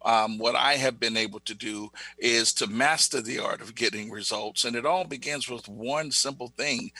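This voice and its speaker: A man giving motivational coaching talk as a guest, emphatic and fond of deliberate repetition. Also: mid-range voice, bright, forceful tone, no room sound, low-quality recording.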